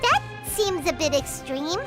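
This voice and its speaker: A woman's voice: very high voice, big pitch swings, measured pace, loud music behind the voice, exaggerated voice. A woman voicing a tiny, curious female fairy companion in a video game, speaking in a chirpy, inquisitive way. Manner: chirpy, inquisitive, third-person self-talk.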